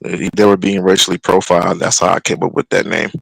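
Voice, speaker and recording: A man co-hosting a live stream, telling a backstory in a halting, casual way. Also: deep voice, flat delivery, gravelly, slightly rough, no room sound, poor audio.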